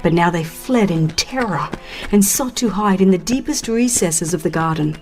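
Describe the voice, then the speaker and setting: A woman reading a dramatized religious audiobook as a narrator, in a solemn, measured and expressive storytelling manner. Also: lowish voice, soft background music.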